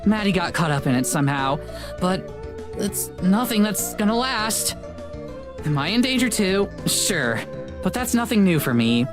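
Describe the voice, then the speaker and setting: A woman's voice: medium pitch, big pitch swings, measured pace. Casting: actress as brash young female ghost-show presenter, casual and salty, hurt underneath, visual novel style, music behind. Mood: showy cheer. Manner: casual, salty, performed cheer. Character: young woman paranormal show host, brash, hiding heartbreak. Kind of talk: visual novel character acting.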